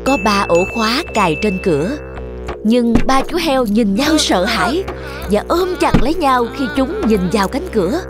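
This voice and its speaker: A woman's voice: a little high, big pitch swings, moderate pace. A woman narrating a scripted fairy tale for children. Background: music.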